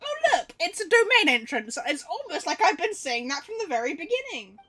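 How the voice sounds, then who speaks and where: A woman's voice: very high voice, very animated, a little fast, pushed and bright. A woman giving casual, exclamatory livestream commentary on a game, repeating phrases and swearing freely.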